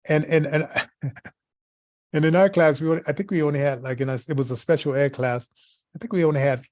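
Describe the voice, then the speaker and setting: A man co-hosting in casual, rambling chat, halting as he searches for words and repeats himself. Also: fairly high pitch, darkish tone, dry recording, studio quality. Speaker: podcast co-host.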